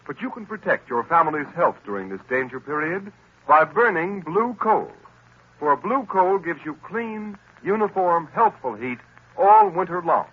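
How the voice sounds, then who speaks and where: A man announcing and narrating in a booming, emphatic 1940s radio style. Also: big pitch swings, moderate pace, slightly rough, highs cut off. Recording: old radio recording with music.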